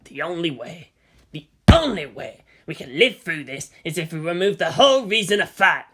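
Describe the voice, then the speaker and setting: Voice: medium pitch, very animated, moderate pace. A narrator voicing a sour, defensive middle-aged prime minister in a story, hissing with indignation. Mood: desperate.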